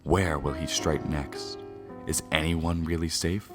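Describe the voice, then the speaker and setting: A man's voice: rumbling low, steady tone, takes it slowly, music in the background. A man playing a mysterious, grandiose narrator of an old-time radio serial, solemn and dramatic. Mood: ominous. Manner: ominous, grandiose.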